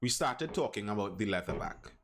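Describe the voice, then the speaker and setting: A man's voice: lowish voice, animated, fairly quick, a bit bright, a little hiss or hum. A Trinidadian man hosting a live stream, chatting loosely and reading out viewer comments with many restarts. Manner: chatty, digressive, restarts sentences. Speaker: live show host.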